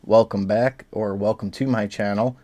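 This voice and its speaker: A man with a slightly low, somewhat raspy voice chats casually to his viewers. He is stuffed up and sniffly from allergies, and he rambles along with plenty of fillers. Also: steady tone, normal speed, dark tone. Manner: easygoing, rambling with frequent fillers. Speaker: reaction video host. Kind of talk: casual chat to camera.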